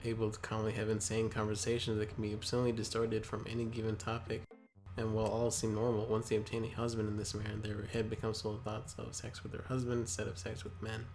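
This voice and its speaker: A man's voice: fairly low pitch, completely flat delivery, quick pace, a little mellow, faint music behind, rough recording. A man casually reading aloud as a co-host, with joking commentary along the way. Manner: joking, easily amused.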